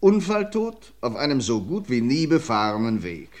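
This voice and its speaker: An actor playing a calm, methodical police inspector in a vintage radio crime drama. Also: male voice, medium pitch, normal speed, lightly acted. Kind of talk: 1950s radio play acting.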